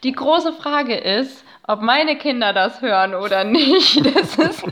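A woman's voice: high voice, expressive, measured pace, a bit bright. A woman speaking as a podcast guest and researcher, explaining things in a conversational, academic way with tentative phrasing.

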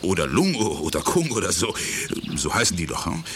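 An actor playing a gruff, sceptical and mocking man in a children's audio drama. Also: low-pitched, big pitch swings, moderate pace, noisy background. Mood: dismissive, sceptical.

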